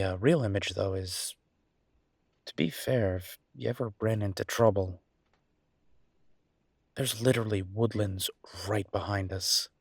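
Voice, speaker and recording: A man acting as a chef boyfriend speaks in a low, husky voice, recorded close and dry. He chats casually and intimately, stopping and restarting as he goes. He is affectionate and teasing, with a grumbling streak, in the style of an audio roleplay. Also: no echo, exaggerated voice. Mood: playful, teasing. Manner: casual, teasing, halting conversational delivery.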